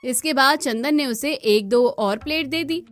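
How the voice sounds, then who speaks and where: A woman's voice: sits high, a little slow, faint music behind. A woman reading scripted narration for a cartoon moral story.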